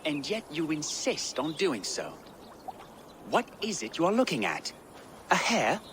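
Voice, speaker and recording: A man's voice: on the high side, wildly swooping pitch, unhurried, noisy background. An actor playing a dour, pious young Saxon man with a dry, formal, sardonic manner in a game cutscene. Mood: pious, weary. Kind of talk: video game cutscene acting.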